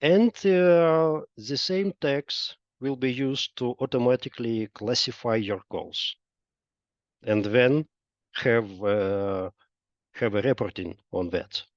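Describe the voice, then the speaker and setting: A man presenting a software product in a webinar, explaining step by step with frequent pauses and hesitations. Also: mid-range voice. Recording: dull lo-fi roomy online webinar audio.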